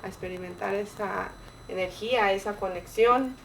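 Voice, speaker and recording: A woman's voice: medium pitch, brisk, creaky. A woman giving an interview testimony as a member of a dance circle, recorded from a distance. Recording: distant mic, ambient background noise.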